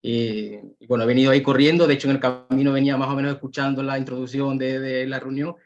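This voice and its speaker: A man's voice: medium pitch, little pitch movement, fast talker. A man from Ecuador, a nurse, answering interview questions in an earnest, rambling way with many restarts. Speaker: guest, a nurse. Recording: dull lo-fi call audio.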